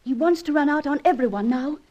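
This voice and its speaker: An actress playing a refined, troubled young heroine in old-time radio melodrama, speaking earnestly and formally. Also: female voice, sits high, a little fast, warm tone, dull audio, close to an everyday voice. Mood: anxious, sorrowful.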